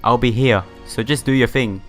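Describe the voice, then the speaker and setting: Male let's play host, medium pitch, informal viewer-facing commentary and read-aloud, game music underneath. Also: normal speed. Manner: informal, addresses viewers directly. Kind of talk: casual video game playthrough commentary, reading story text aloud. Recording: music playing behind the voice.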